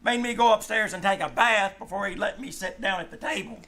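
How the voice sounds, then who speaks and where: An actor playing a sarcastic, cheeky teenage son in a 1940s-style radio comedy, with wry mock-formal delivery. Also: male voice, high voice, a little fast, gravelly, pushed and bright, full character voice. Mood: teasing. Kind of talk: 1940s radio comedy acting before live audience.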